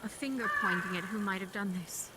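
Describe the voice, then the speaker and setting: A woman's voice: mid-range voice, a little fast, noisy background, lightly acted. A woman playing an urgent, insistent villager in an improvised roleplay. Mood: reporting. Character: urgent, insistent female villager in murder mystery.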